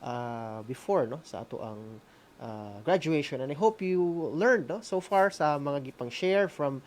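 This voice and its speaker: A Filipino host with a Visayan accent speaks in a medium-pitched voice. The delivery is casual and informal, mixing languages and often ending phrases with a checking "no?", as in an online class. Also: lively intonation, moderate pace. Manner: frequent "no?" tags, informal. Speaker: host of a school-on-the-air program. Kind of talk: casual online class hosting, code-switching.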